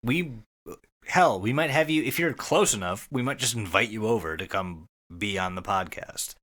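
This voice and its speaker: A man's voice: on the low side, animated, normal speed, fairly bright tone. A man co-hosting a podcast with casual banter and recaps, joking and swearing freely. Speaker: podcast co-host.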